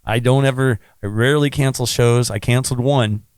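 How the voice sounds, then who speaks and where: A man with a low, raspy voice, chatting bluntly as a musician guest on a podcast. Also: fairly even intonation, fairly quick, dry recording, studio quality. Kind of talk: casual podcast interview.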